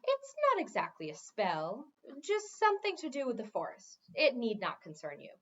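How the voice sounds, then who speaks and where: Woman playing a high-pitched, dignified young king. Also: huge pitch swings, moderate pace, a bit bright, muffled recording, exaggerated voice. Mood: dignified, offhand. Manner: dignified, offhand, curt. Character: young, irritated but dignified forest king. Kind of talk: children's fantasy audiobook reading.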